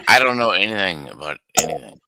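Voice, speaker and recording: A man in his fifties from California joins a stream chat over a remote computer mic. His voice is very low but cuts with a sharp, tense edge, and the sound is completely dry. He talks bluntly and gets excitable fast. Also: vocal fry, no echo, rough recording. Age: 50s. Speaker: stream call-in guest. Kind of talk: casual chat. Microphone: remote computer mic on a call.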